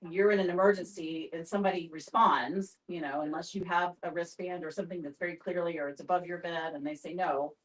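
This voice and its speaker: A woman's voice: on the low side, fairly even intonation, a little fast, few pauses. A woman explaining things in a group discussion, feeling her way through her phrases. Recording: voice picked up from a distance.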